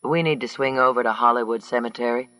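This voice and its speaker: A man voicing a tired, fair-minded governor in his sixties who speaks calmly. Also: medium pitch, fast talker, no echo, lightly acted. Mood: weary. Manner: calm, tired. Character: weary, fair-minded older male governor.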